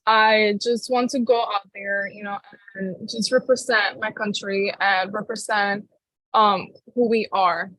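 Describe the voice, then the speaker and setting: A young woman from Cuba, a pageant contestant answering interview questions in a giggly, halting way with many fillers. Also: medium pitch, steady tone, fairly bright tone, dry recording, low-quality recording. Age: young adult.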